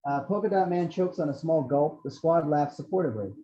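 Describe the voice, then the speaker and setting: A man's voice: fairly high pitch, fairly quick, dark tone, a little hiss or hum. A man reading out screenplay action descriptions as the narrator of a table read. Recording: slightly dull lo-fi microphone.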